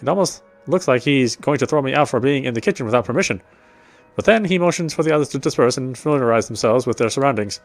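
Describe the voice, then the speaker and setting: A man's voice: medium pitch, lively intonation, fast, no echo, faint music behind. A man reading visual novel narration aloud as a let's player, sometimes stumbling and rereading phrases.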